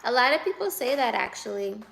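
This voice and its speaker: A woman's voice: a little high, very animated, fast, gravelly, fairly bright tone. A woman in her twenties chatting on a livestream, teasing and laughing as she answers viewer comments aloud. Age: 20s. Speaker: livestreamer reading chat. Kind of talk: casual live chat.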